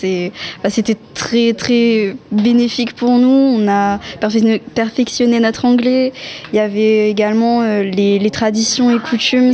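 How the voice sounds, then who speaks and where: A woman's voice: on the high side, dark tone. A young woman student speaking about a school exchange in an interview in a school library, hesitating with fillers. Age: young adult. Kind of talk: interview testimony.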